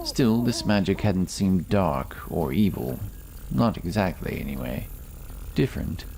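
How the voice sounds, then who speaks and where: A man narrating a fantasy story in a measured, dramatic scripted style. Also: low voice, gravelly, darkish tone, slight background noise. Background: music.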